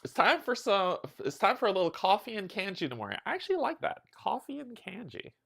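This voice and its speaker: A man's voice: high voice, very animated, quick pace, vocal fry, pushed and bright. A man hosting a casual livestream of a tabletop game, chatty and upbeat, greeting his viewers by name and joking about himself. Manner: chatty, upbeat, self-deprecating. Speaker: streamer and host.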